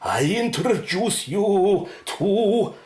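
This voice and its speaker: A woman acting in a radio drama as a proud, excitable medical scientist who speaks in a formal, precise, showy way. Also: medium pitch, very slow, roomy sound. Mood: showman-like.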